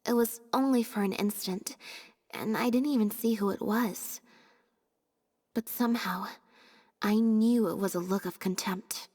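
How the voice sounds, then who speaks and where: A woman playing a reserved young female stage assistant in a video game, speaking in a deadpan, understated way. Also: mid-range voice, unhurried, long pauses, in a large hall, close to an everyday voice. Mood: subdued.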